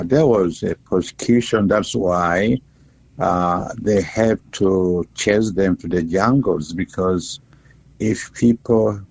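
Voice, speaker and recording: A man with a South Sudanese accent, a bishop speaking haltingly and deliberately as an interview guest over the phone. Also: low voice, gravelly, darkish tone, breathy edge. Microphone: telephone. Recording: dull, muffled phone line.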